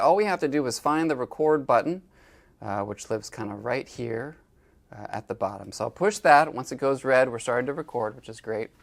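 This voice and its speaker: A man's voice: mid-range voice, creaky. A man giving a casual, step-by-step equipment tutorial as an instructor, with informal, folksy phrasing. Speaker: instructor demonstrating library equipment.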